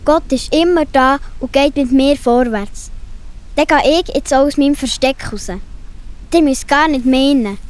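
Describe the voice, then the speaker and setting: A high, girlish voice performed by a woman as a sensitive, plucky little girl in a children's audio play. She speaks with a Swiss accent. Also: a little slow. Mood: resolute.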